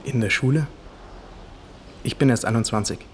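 An actor playing a timid, evasive young police guard in a radio drama, with a Bavarian accent and a plain, hesitant manner. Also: male voice, medium pitch, normal speed, close to an everyday voice. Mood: startled, defensive. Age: young adult. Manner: plain-spoken, hesitant, defensive. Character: timid 21-year-old male police guard, evasive, dutiful. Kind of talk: naturalistic radio drama dialogue. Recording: clearly noisy ambient background.

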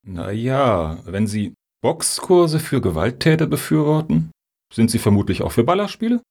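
Actor as snide skeptical man, gravelly and husky. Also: mid-range voice, sing-song, moderate pace, darkish tone. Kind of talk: satirical radio play dialogue.

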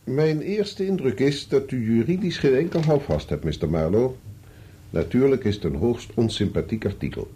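An actor playing a measured senior lawyer in a radio play, formal and deliberate as he explains. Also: mid-range voice, animated, mellow, natural voice. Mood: measured, authoritative. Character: measured male senior lawyer giving sober legal advice.

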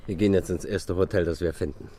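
Actor as low, mellow, suave young gentleman. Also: steady tone, fast, slight background noise, close to an everyday voice. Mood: coolly persuasive. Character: suave, courting young gentleman, coolly persuasive. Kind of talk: German radio play acting.

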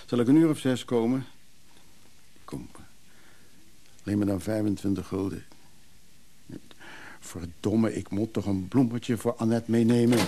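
Radio play role: needy, resentful man down on his luck, creaky mellow voice, pleading. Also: on the low side, unhurried, pauses often, slight background noise. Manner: reproachful, pleading. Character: bitter, needy, down-on-his-luck brother, a recovering drinker. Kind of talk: radio drama acting.

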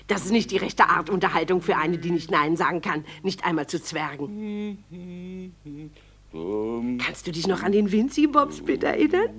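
A small-town gossip chatters in a radio play. Her voice sits at a middle pitch, indignant and relishing every bit of disapproval. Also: a bit bright. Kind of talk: literary radio drama.

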